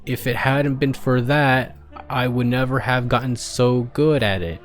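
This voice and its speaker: A man voicing the written notes of a cheerful ghoul pegasus mare, read out slowly and carefully. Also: medium pitch, fairly even intonation, soft background music, lightly acted. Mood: kindly, measured.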